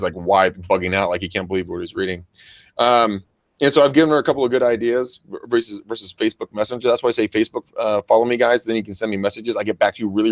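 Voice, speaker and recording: Male co-host, American, very loud, enthusiastic and bro-ish, loose unscripted chat with slang and cursing, recorded dry. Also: mid-range voice, no echo. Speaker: co-host of a real estate training show and agent. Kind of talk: casual unscripted show chat with shout-outs. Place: private office.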